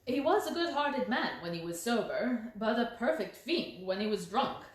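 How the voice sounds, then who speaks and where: A woman voicing a brisk, talkative, deferential male police inspector in a detective storybook. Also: a little high, animated, very echoey, close to an everyday voice. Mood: frank.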